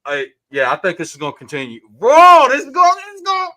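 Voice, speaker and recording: A loud, excitable man who keeps tripping over his own sentences. His voice is high and bright, with a forceful edge. It sounds dry and close, with no room around it. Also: fast, no room sound. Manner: loud, excitable, rambling with restarts. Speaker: crypto trader guest. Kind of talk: casual group chat. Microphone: desk mic about two feet from face.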